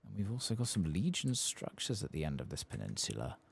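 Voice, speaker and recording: A man with an extremely low, gravelly voice speaks softly over a video game in an ASMR style. His pitch wanders up and down as he muses to himself, pausing to think between unhurried remarks. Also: huge pitch swings. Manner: musing to himself, hesitant, unhurried asides. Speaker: ASMR gaming streamer narrating his play. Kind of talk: soft-spoken ASMR game commentary, reading quest text aloud.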